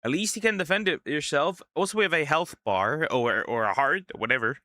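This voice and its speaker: Male streamer, casual game commentary, incredulous reactions, lively pitch, bright tone. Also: fairly quick, dry recording, studio quality. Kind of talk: let's play commentary.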